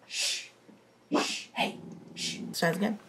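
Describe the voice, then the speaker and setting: A raspy-voiced young woman chatting casually to camera from her bedroom, reacting to a TV show and swearing under her breath at small mishaps. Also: slow, heavy background noise. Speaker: TV reaction vlogger. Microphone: laptop mic.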